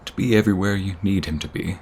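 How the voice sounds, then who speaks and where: A man's voice: sits low, steady tone, fast, slight background noise. A man playing a devoted, sentimental boyfriend in a romantic ASMR roleplay, speaking intimately and earnestly. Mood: earnest, pleading. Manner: intimate, earnest, often hushed and breathy.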